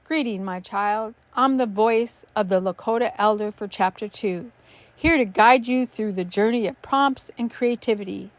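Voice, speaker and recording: A woman playing a deep, reflective wise elder. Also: dry recording, close to an everyday voice. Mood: solemn. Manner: slow, solemn.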